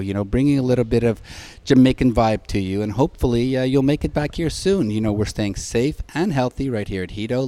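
Man, low voice, mellow tone, enthusiastic podcast co-host. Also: no echo. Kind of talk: podcast hosting and ad read.

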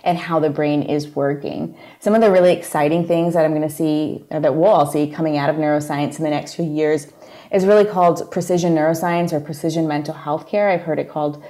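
A woman with a New York accent talks through her work in a steady, plain-spoken way. Her voice sits low and has a mellow, soft tone. She is a guest speaking in a relaxed conversation. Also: a little fast. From New York area, USA. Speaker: guest psychologist. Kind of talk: casual interview conversation.

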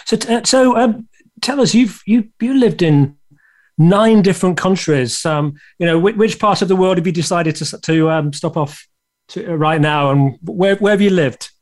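A man hosting an interview podcast who asks his questions haltingly, restarting them with many fillers. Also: sits high. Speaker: podcast host. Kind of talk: casual interview conversation.

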